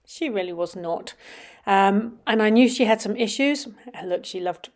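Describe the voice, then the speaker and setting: A middle-aged woman with a slightly sniffly, runny nose, casually commenting over videos of her children riding, with frequent ums and some quiet murmured asides. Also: medium pitch, a little slow. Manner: chatty, many ums, drops to quiet murmurs while watching. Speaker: vlogger.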